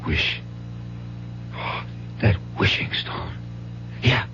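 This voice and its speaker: Desperate American gambler father, muffled radio sound. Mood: desperate, begging. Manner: halting, pleading, repeats himself. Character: desperate gambling father, wheedling, bitter, weak-willed. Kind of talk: old-time radio drama acting. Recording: dull, noisy 1970s radio recording with hum.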